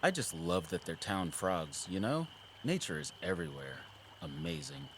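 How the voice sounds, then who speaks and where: An actor playing an earnest, caring male wildlife surveyor in an audio drama, casual, sincere and expressive. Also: low voice, moderate pace, a little hiss or hum. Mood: eager, delighted. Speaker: habitat surveyor.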